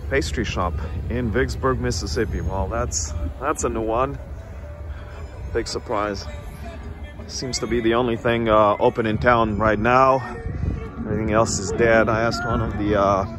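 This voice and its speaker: A man casually narrating a walking tour of a small town, unhurried and full of filler pauses, recorded outdoors on a street. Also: lowish voice. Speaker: travel vlogger.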